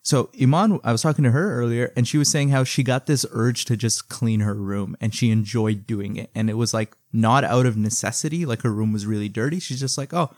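A man's voice: mid-range voice, fairly quick, hardly any pauses, darkish tone, no echo. Dry, teasing young man host. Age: 20s. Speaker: podcast host. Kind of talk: casual chat.

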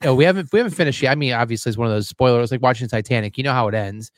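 A man's voice: mid-range voice, rapid-fire, no echo, studio quality. An American man co-hosting in loose, profane casual chat, slightly sniffly. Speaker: co-host.

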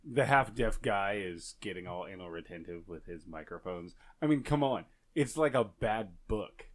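A man co-hosting a podcast, talking loudly and rambling in casual jokes in an echoey room. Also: sits low, expressive, normal speed. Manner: loud, joking, rambling. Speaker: podcast co-host. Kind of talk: casual chat.

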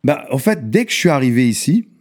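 A man in his thirties who grew up in Morocco, a guest telling his story in a relaxed way and often tagging his lines with "tu vois". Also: medium pitch, quick pace. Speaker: guest rapper. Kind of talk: casual interview storytelling.

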